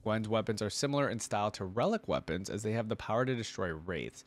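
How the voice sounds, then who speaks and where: A man hosting a reaction video, reading aloud and commenting informally as he thinks out loud for viewers. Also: on the low side, expressive, fast talker.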